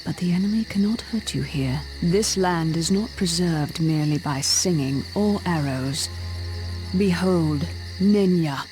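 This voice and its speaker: A woman playing an ancient, regal elven queen in a fantasy video game, solemn, measured and formal. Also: fairly low pitch, soft background music, natural voice. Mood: solemn, mystical. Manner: slow, measured, grave, formal.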